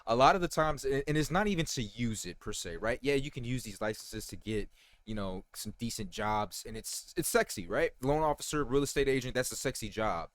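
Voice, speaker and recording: A man's voice: medium pitch, animated, a little fast, no room sound. A man in his thirties giving casual, rambling reaction commentary, full of fillers and swearing and talking straight to his viewers. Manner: keeps saying "you guys" to viewers. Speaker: reaction video host.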